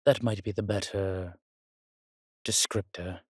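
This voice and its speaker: Man acting a slow, husky, roguish old vampire. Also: low voice, steady tone, a little mellow, dry recording, studio quality, exaggerated voice. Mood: amused, nostalgic. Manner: theatrical, archaic turns of phrase, teasing. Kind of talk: intimate roleplay audio drama.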